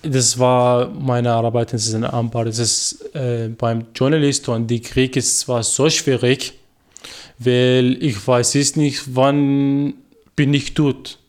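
Man, twenties, from Iraq, halting learner's German, frequent fillers, radio interview guest. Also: mid-range voice, flat delivery. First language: Arabic. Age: 20s. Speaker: guest, former journalist.

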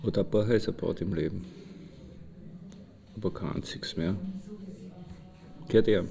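An actor playing a blunt, wry colleague, speaking dryly in short laconic phrases. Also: male voice, lowish voice, steady tone, moderate pace, gravelly, crowd noise. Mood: grudging. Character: blunt, wry colleague sizing up a stubborn friend. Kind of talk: anecdotal monologue in an audio drama.

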